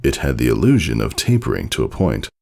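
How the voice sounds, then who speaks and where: A man's voice: rumbling low, big pitch swings, fairly quick, gravelly, a little mellow. A man reading scripted storybook narration as the narrator of a piece of fiction.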